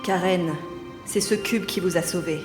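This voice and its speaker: A woman playing a calm, serious crew member in a French sci-fi audio drama. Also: on the low side, normal speed, lightly acted. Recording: echoey hall reverb, music behind.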